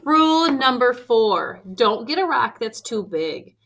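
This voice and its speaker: Woman, children's read-aloud storyteller. Higher-pitched, lively and varied delivery, leaning on key phrases, faint noise behind. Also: gravelly. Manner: expressive, deliberate emphasis on key phrases.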